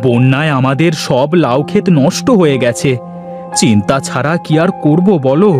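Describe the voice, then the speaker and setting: A man's voice: medium pitch, moderate pace, music in the background, natural voice. A man voicing a worried, doubting village farmer father in a children's cartoon tale. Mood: dejected.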